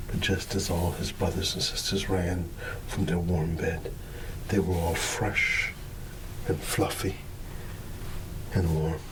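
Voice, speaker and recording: A man reading a bedtime story in ASMR style, hushed and drawn out, sometimes dropping into a whisper. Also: low-pitched. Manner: hushed, unhurried, drawn-out words with playful asides. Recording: steady background ambience noise. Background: ambient background sound.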